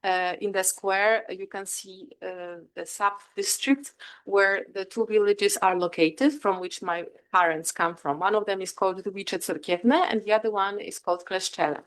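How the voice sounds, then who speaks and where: A woman's voice: mid-range voice, steady tone, normal speed. A woman from northeast Poland with a Polish accent presents her research as a guest academic, speaking hesitantly and correcting herself as she goes.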